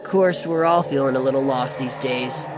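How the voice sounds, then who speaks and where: A man's voice: medium pitch, normal speed, background noise, close to an everyday voice. A man playing a young mutant turtle narrating a letter in a comic audio drama, casual and slangy. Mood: downbeat, resolved. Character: level-headed young male mutant turtle.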